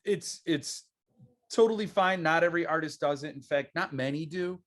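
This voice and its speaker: A man's voice: fairly high pitch, normal speed. A man from the United States hosting a casual interview, chatty and self-deprecating, with frequent restarts. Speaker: podcast host.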